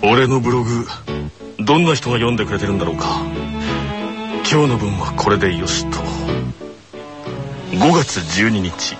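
Guy acting serious tired teen sports captain, low deadpan anime monologue, music loud behind. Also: normal speed, pauses often, natural voice. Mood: solemn, weary. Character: stern, weary teenage tennis captain writing his diary. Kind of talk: anime radio drama monologue.

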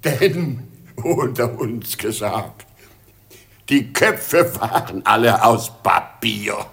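Old emperor: weepy, kindly, very slow, swooping theatrical lines, vintage radio drama. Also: male voice, lowish voice, expressive, gravelly, fairly bright tone, full character voice. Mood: relieved, confiding. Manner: theatrical, halting delivery. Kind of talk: 1950s German radio play acting.

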